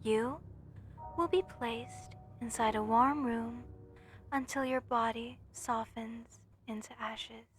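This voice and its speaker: A woman playing an obsessed, lovesick mortician in a horror audio roleplay, speaking tenderly and deliberately with sweet menace. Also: a little high, steady tone, a little hiss or hum. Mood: loving menace. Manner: slow, tender, deliberate, theatrical. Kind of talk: horror roleplay monologue addressed to listener.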